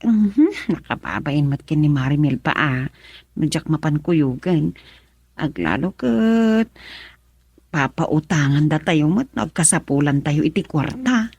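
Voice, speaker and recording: Casting: determined Ilocano wife and mother, husky voice, close dry sound, radio drama. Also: sits low, lively intonation, a little slow, darkish tone, no room sound, heavily acted. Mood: defensive. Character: wife and mother intent on working abroad.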